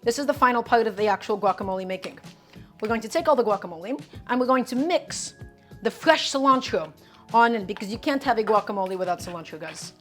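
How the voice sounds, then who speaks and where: Woman streamer, chatty cooking host, slightly high voice, soft music in the background. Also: animated, fast talker. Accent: her own distinct accent, not Brooklyn. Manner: upbeat, talks chat through each step, says "guys" and "okay" often.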